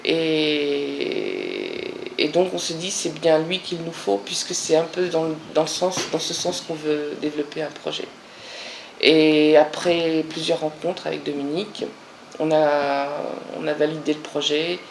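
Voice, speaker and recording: A woman's voice: sits low, animated, measured pace, creaky. Woman recounting a project in a roomy space. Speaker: local project organiser. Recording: roomy echo, faint background noise.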